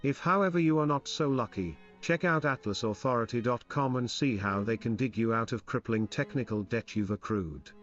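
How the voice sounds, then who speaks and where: A male podcast host delivers a scripted promo in a mid-pitched voice with tongue-in-cheek humor. The recording is a little dull, with faint music underneath. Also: moderate pace, hardly any pauses. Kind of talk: scripted sponsor read and supporter thanks.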